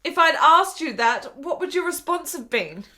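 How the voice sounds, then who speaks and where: An actress playing a frail, needy dying woman in a period radio drama, halting and beseeching in her delivery. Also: extremely high pitch, big pitch swings, bright, forceful tone, exaggerated voice. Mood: pleading, sorrowful.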